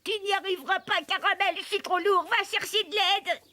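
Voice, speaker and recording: A woman voicing an excitable, clumsy small animal pal in a children's cartoon, with a pleading, exclaiming manner. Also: very high-pitched, moderate pace, a little hiss or hum. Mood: panicked, pleading.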